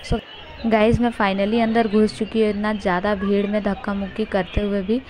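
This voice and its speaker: A woman's voice: mid-range voice, little pitch movement, moderate pace, darkish tone. Young woman vlogging, noisy phone recording. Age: young adult. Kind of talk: casual vlog to camera, Hindi mixed with English. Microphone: handheld phone. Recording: noisy phone recording amid crowd and traffic.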